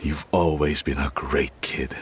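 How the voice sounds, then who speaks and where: Very deep-voiced middle-aged father. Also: fairly even intonation, measured pace, slight background noise. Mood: tender, halting. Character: middle-aged father, gruff but softening, proud of son. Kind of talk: video game voice acting.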